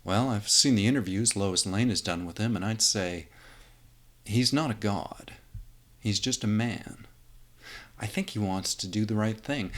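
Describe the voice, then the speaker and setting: A man's voice: lowish voice, measured pace, fairly bright tone. A man playing a polite, earnest reporter of thirty, meek and well-spoken, in a script reading. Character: mild, meek, polite thirty-year-old male reporter, quietly principled.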